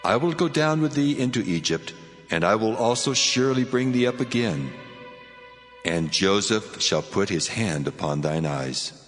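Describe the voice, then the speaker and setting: A solemn, commanding God played by a man proclaims in a low voice drenched in long reverb. The delivery is grand, deliberate and reassuring, in dramatized scripture over faint music. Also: animated, lightly acted. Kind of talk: dramatized scripture audio acting.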